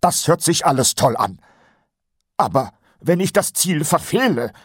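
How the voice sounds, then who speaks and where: Guy doing a grumbly reluctant older watch sergeant, husky, grudging bluster, audiobook, no room sound. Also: fairly high pitch, very animated, measured pace, no room sound, studio quality, pitched above the performer's own voice, full character voice. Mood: defensive, desperate. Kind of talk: audiobook character voice.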